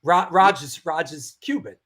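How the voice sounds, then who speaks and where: A man's voice: on the high side, normal speed. A middle-aged man hosting a casual interview, reacting often with short exclamations. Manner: warmly reactive, lots of wows and short interjections. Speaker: host.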